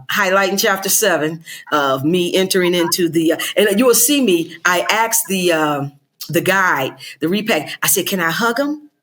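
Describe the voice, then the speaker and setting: A woman's voice: fairly low pitch, a bit bright, a little hiss or hum. An older woman, a guest on a podcast, talking animatedly and laughing often. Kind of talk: casual interview chat.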